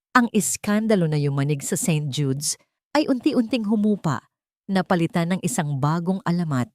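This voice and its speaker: A woman narrates a scripted drama with a slightly low voice. Her delivery is measured and sentimental, like a storyteller. The sound is close and dry, with very little room to it. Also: darkish tone, dry recording.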